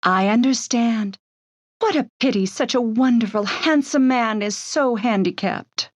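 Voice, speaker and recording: Demure young secretary, higher voice, tender, adoring, close dry sound. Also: female voice, a little slow, dry recording. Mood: tender, pitying. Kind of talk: dramatized comic-book read-along acting.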